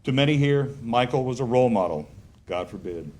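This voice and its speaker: Man, memorial emcee, prepared remarks, measured, dry wit, middle pitch. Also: lively intonation, a little mellow. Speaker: emcee introducing speakers. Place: music club with an audience.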